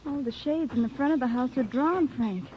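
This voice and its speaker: Actress as a high-voiced, spirited college girl. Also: moderate pace, lightly acted. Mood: concerned, impatient. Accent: American. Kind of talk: 1940s radio acting. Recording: muffled old radio recording, faint noise.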